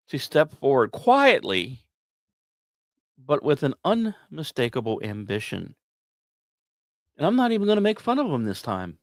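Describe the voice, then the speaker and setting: Man with animated, dramatic podcast narration. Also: mid-range voice, no echo, studio quality. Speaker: podcast host. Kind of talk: scripted science news narration with casual asides.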